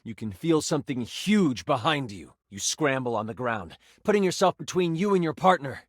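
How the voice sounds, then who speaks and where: Man as a theatrical, animated fantasy game master. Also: mid-range voice, moderate pace, no room sound, clean studio sound, close to an everyday voice. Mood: suspenseful storytelling. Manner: theatrical, expressive, savoring suspense. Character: personified D20 die, male, theatrical, enthusiastic game master. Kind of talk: dramatic tabletop fantasy narration.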